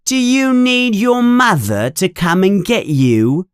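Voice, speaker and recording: A man's voice: high voice, smooth, no echo, clean studio sound, pitched above the performer's own voice. A man voicing a mean, sneering schoolboy bully who taunts and mocks in a storybook reading. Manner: taunting, mocking, drawn-out jeers.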